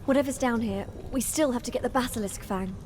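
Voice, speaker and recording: A woman's voice: on the high side, fast talker, close to an everyday voice. An actress playing a brave, practical teenage witch in a video game, urgent and matter-of-fact. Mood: determined. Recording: music behind the voice.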